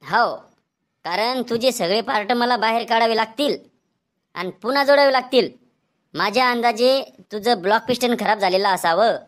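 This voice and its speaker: A man's voice: extremely high pitch, moderate pace, fairly bright tone. A man voicing a rude, bragging male quack doctor in a comedy cartoon, scolding in a Vidarbha Marathi accent. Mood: bossy. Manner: brusque, scolding, boastful.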